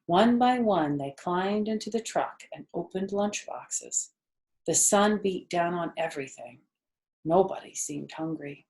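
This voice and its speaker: A woman's voice: lowish voice, lively intonation, measured pace, a little mellow. An older woman reading a children's book aloud as a storyteller.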